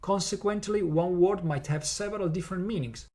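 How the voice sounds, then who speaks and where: A man's voice: high voice, fairly quick, darkish tone. A man with an Italian accent, Italian his first language, hosting a podcast lecture and explaining step by step with hesitant fillers. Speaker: podcast host.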